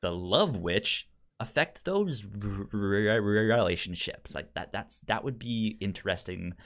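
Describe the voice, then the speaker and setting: A man co-hosting a casual film podcast, speaking haltingly with a stutter, repeating sounds and words as he goes. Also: fairly low pitch, animated, a bit bright. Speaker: podcast co-host reviewing films.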